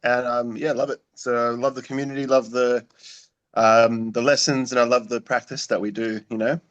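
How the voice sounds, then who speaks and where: A man's voice: mid-range voice, little pitch movement, normal speed, fairly bright tone, dull audio. A man introducing himself hesitantly, with many "um"s. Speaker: debate panelist. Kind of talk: casual self-introduction.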